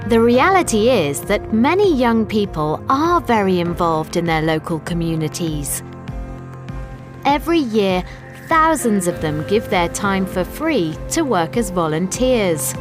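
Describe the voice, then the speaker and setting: A woman reads scripted documentary narration for learners in a measured, clearly enunciated way. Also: medium pitch, big pitch swings. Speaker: narrator of an educational video report. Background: background music.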